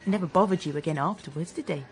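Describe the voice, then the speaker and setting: An actress with a British accent playing a brash, teasing, guarded young ex-soldier in naturalistic radio drama, blunt and deflecting. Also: female voice, low voice, very fast, slight background noise. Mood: blunt, fond.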